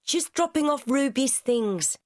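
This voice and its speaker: Woman as a high-pitched factory girl. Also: lively intonation, measured pace, no room sound, clean studio sound. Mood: cheery. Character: friendly working-class young factory girl.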